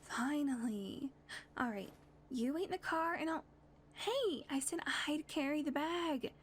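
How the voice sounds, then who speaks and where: A woman acting as a sardonic, ageless female vampire in an audio roleplay, teasing in a dry tone and slipping into soft whispered asides. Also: sits high, expressive, a little slow, slight background noise.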